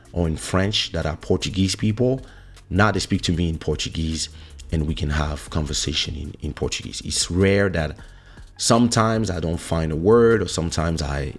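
A man from Congo hosting a podcast, talking casually in a relaxed, rambling way and often correcting himself. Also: low-pitched. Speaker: podcast host. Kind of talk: casual monologue.

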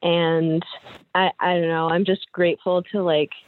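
A woman's voice: lowish voice, flat delivery, a little slow, dry recording. A young woman interview guest, talking in a hesitant, rambling way with many restarts. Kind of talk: casual interview chat. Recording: dry, tinny phone-like line.

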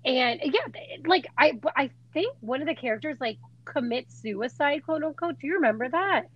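Animated hoarse young woman, high voice. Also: moderate pace, highs cut off. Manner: animated, jokey, exclaims and teases. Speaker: podcast co-host. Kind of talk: casual chat.

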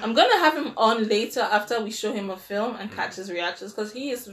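A woman's voice: medium pitch, a little fast, fairly bright tone. A woman hosting a podcast, running a quiz game with playful teasing and frequent laughter. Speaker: podcast host. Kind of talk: casual chat, quiz game.

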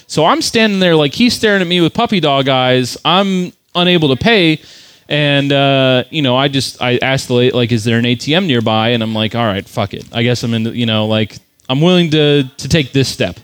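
A man's voice: mid-range voice, normal speed, fairly bright tone, smooth, no room sound. A man casually telling a long story, rambling, swearing freely and filling his speech with "like". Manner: rambling, profane, lots of "like" fillers.

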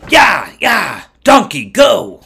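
A man playing a reluctant, greedy, easily flustered curse-maker in a told story, with an excitable, hesitant manner. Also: mid-range voice, takes it slowly. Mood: exasperated. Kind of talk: storybook character voice.

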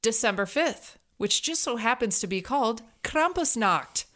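A woman's voice: fairly high pitch, animated, normal speed, a bit bright. A woman co-hosting a podcast, casually presenting researched facts with a playful, joking manner. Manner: playful, jokey, laughs often. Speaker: podcast co-host.

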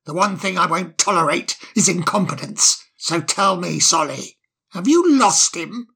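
A man voicing a menacing, sarcastic male fox who bosses others around in a storybook reading, with a slow, cold manner. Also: high voice, very animated, vocal fry, pushed and bright, slightly rough, exaggerated voice. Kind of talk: storybook audiobook character voice.

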